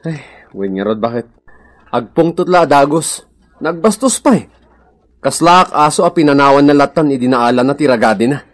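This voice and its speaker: An actor playing an apologetic but easily offended neighbour and husband in a radio drama, with an Ilocano accent. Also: male voice, a little high, lively intonation, moderate pace, lightly acted. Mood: offended, grumbling.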